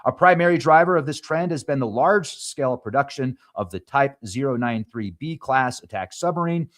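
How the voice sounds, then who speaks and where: A man who hosts a show reads news stories aloud and adds quick asides. English is not his first language, and he sometimes stumbles over place names. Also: mid-range voice, animated. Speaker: show host. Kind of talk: reading news headlines with commentary.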